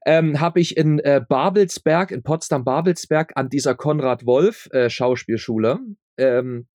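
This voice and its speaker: A man's voice: mid-range voice, measured pace, a little mellow, no room sound, clean studio sound. A man answering casually as an interview guest, informally repeating words and often checking that he is understood. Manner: informal, repeats words and phrases, seeks confirmation. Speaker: interview guest, audio drama maker.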